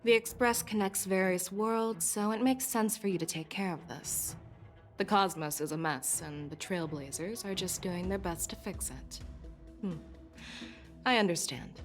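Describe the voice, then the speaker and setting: A woman playing a shrewd, authoritative general in a game cutscene, questioning in a formal, measured and pointed way. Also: medium pitch, animated, natural voice. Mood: measured, probing. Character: shrewd, authoritative female general conducting a probing interrogation. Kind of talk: scripted game cutscene acting. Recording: background music.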